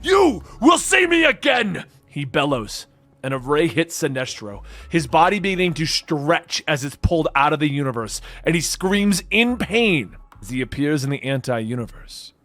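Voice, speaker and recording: Higher-voiced man narrating dramatically. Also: expressive, normal speed, a bit bright. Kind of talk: dramatic scripted comic book narration. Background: background music.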